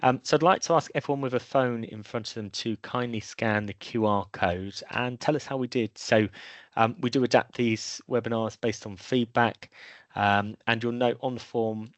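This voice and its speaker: Dry-sounding male webinar host, medium pitch. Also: steady tone, normal speed, no echo. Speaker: webinar host relaying audience questions. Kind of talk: semi-formal webinar moderation.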